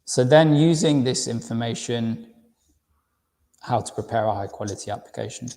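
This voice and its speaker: A London-accented man in his thirties gives a friendly university webinar, stumbling over and repeating words now and then. His voice sits at a middle pitch with a dark, mellow tone. A long reverb trails after his words, as if he is speaking in a large room. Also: normal speed. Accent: London English. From United Kingdom. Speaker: university recruitment director presenting a webinar. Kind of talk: webinar presentation.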